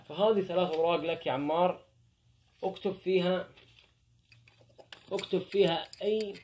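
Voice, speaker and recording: A man's voice: high-pitched, moderate pace, a little mellow, a little hiss or hum. A young man, hosting a challenge video and chatting directly to viewers. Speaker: channel host. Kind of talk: vlog challenge intro.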